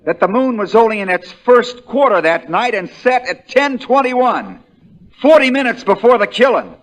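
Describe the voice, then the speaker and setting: Folksy American lawyer, swooping pitch. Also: male voice, very animated, normal speed, poor audio. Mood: fierce, accusing. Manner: drawling folksy understatement that builds into hammering accusation. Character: shrewd, folksy country defense lawyer, relentless in cross-examination. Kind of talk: 1940s radio drama acting.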